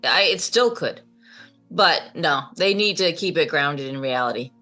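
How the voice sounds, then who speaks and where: A woman's voice: sits low, lively intonation, unhurried, pushed and bright, soft background music. A woman speaking as a guest in a casual roundtable discussion.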